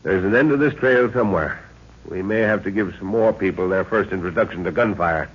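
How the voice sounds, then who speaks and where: An actor playing a seasoned, calm and authoritative jungle expedition leader in a 1930s radio adventure serial. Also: male voice, low voice, slightly rough. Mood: grim, measured. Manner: authoritative, deliberate, clipped orders. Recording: scratchy, muffled 1930s radio recording.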